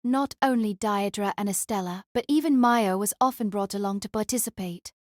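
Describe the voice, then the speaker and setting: A woman's voice: mid-range voice, normal speed, a little mellow, no room sound. Dry, close-miked woman narrator. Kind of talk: scripted novel narration.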